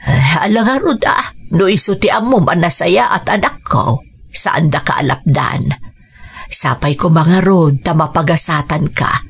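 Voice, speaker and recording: An actress as a husky, creaky elderly mother. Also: fairly low pitch, lively intonation, measured pace, full character voice. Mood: tender, concerned. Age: older. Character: elderly mother, anxious, gentle, fond of her daughter. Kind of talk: Ilocano radio drama acting.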